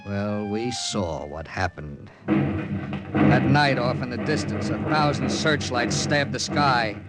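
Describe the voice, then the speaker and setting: A man's voice: mid-range voice, very noisy, natural voice. An actor playing a terse, resolute captain who narrates his own spy mission, authoritative and clipped, in a 1940s radio drama style. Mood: grim. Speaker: lead and narrator. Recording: old radio drama with musical underscore.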